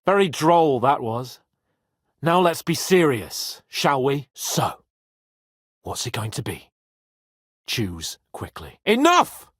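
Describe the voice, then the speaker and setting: An actor playing a haughty, hot-tempered young medieval nobleman in a video game, with a husky, rough-edged voice and an imperious, sardonic delivery. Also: on the high side, dry recording, clean studio sound, full character voice. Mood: mocking. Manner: imperious, sardonic, swings between sneering and shouting. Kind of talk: dramatic video game voice acting.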